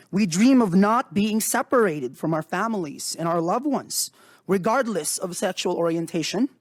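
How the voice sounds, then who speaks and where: A man in his thirties from the Philippines, a native Tagalog speaker, giving earnest, measured prepared testimony to a committee. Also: high voice, no room sound, studio quality. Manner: formal, earnest, measured delivery. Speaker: witness before a committee. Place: hearing room.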